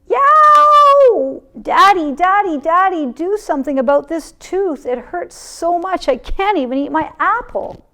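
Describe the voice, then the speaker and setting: A woman voicing a young boy in a storybook, yelling and whining pleadingly in pain. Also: very high-pitched, big pitch swings, unhurried, pitched above the performer's own voice, full character voice. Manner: yelling out, wailing complaints.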